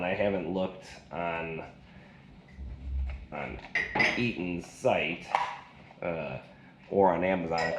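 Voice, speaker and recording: Very deep-voiced man, casual gadget reviewer. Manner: rambling, colloquial, folksy slang. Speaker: product reviewer. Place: indoors. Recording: echoey room with loud noise.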